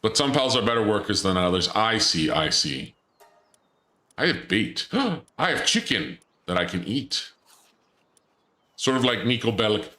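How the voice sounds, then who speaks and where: A man's voice: fairly low pitch, lively intonation, measured pace, pauses often, bright, forceful tone, reverberant. A man streaming a game, chatting casually and joking while he reads chat aloud. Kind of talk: casual gameplay commentary.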